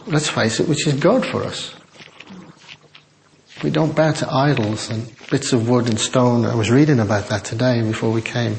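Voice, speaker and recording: An older man preaching a chatty, self-deprecating sermon in a quiet voice to a church congregation on a small microphone. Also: mid-range voice, moderate pace, vocal fry, a little hiss or hum, poor audio. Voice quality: quiet, soft-spoken voice. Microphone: small sensitive microphone.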